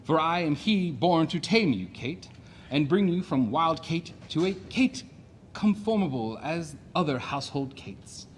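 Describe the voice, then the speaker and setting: An actor playing a brash, boastful, domineering suitor in a classic comedy audio play, with declamatory theatrical delivery. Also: male voice, on the high side, lively intonation, unhurried, a little hiss or hum. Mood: cocky, insistent.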